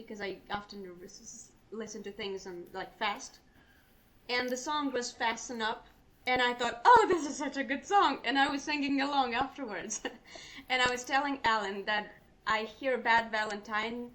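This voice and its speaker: A woman's voice: fairly high pitch, fairly bright tone. Woman co-host rambling on, kinda far from the mic, faint hiss behind. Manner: chatty, rambling anecdote. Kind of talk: casual storytelling chat. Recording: distant mic with faint background noise.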